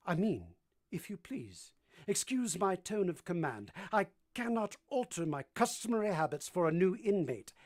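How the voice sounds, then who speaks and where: An actor playing an imperious, blunt middle-aged English master of the house in a vintage-style radio drama. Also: male voice, sits high, full character voice.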